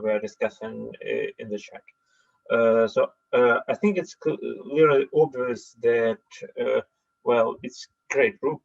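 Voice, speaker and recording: A man's voice: medium pitch, gravelly, slightly rough. Guy on a video call, lots of uh and um, muffled lo-fi audio. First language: Russian. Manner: hesitant, many filler sounds. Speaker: seminar participant. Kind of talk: online meeting remarks. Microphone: computer mic on a video call.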